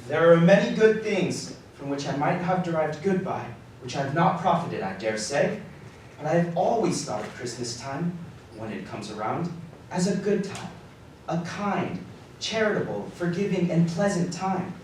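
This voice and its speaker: Hearty, earnest nephew acted by a man, highish lively voice, radio play style, recorded live in a very echoey room. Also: normal speed, natural voice. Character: cheerful, warm-hearted nephew, earnest and forgiving. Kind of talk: live radio drama acting. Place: live community theatre performance. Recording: roomy live stage, distant mic, faint noise.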